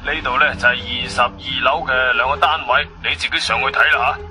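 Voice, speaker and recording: A man's voice: medium pitch, steady tone, brisk, music in the background, close to an everyday voice. A man playing an unbothered, dismissive building attendant in a Cantonese radio drama. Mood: offhand.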